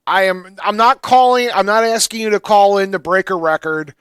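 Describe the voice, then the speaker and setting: A man hosts a casual call-in radio show in a fairly high voice. He banters and speaks emphatically, breaking into his own sentences. The sound is dry and close. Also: normal speed, fairly bright tone, clear, resonant tone, no echo. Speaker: radio talk show host. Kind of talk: casual call-in show chat about the paranormal.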